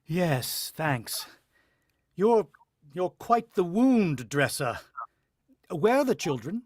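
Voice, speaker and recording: An actor playing a rattled, protective farmhand husband in a suspenseful, vintage-style radio drama. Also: male voice, high voice, sing-song, takes it slowly, dry recording, clean studio sound, full character voice. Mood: shaken, grave. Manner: dramatic, suspenseful first-person storytelling.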